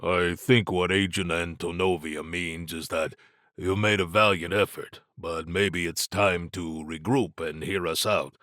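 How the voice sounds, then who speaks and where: A man voicing a diplomatic male secret agent in an audiobook, measured and conciliatory. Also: low voice, steady tone, fairly bright tone, no echo, studio quality. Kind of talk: audiobook character dialogue.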